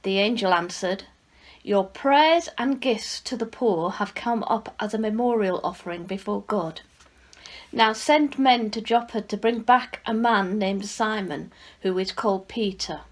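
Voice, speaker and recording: A woman reading a Bible passage aloud in a measured, expressive way, as a scripture reader. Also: medium pitch.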